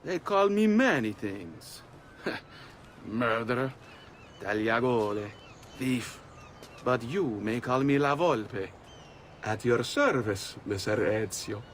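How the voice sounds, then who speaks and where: A sly, mysterious Italian master thief for a video game. He talks slowly with a lively, theatrical lilt and a knowing air, over faint background noise. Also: mid-range voice. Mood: sly, amused. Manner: teasing, theatrical, chuckling knowingly. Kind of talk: video game cutscene acting.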